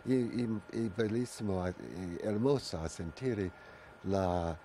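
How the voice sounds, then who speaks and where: An older man with a strong Italian accent, speaking slowly and haltingly in a very low, mellow voice. He searches for words, repeats phrases and restarts often. There is a faint hiss of background noise behind him. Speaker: guest, Watsu originator. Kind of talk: radio interview answers.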